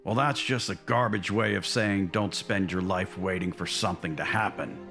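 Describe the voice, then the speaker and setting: A man playing a grieving but resolute older newsman and fatherly uncle in a comic dub, measured and earnest. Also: low-pitched, fairly bright tone, faint music behind. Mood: fatherly, firm. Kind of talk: dramatic comic dub acting.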